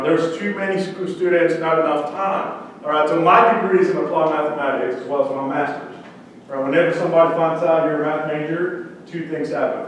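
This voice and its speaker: A man from central Mexico whose first language is Spanish, giving a lively startup pitch and quizzing the audience. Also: far from the mic, a little hiss or hum. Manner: conversational, quizzes the audience with questions. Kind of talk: energetic startup pitch talk. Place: event room with audience. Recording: echoey room, speaker away from mic.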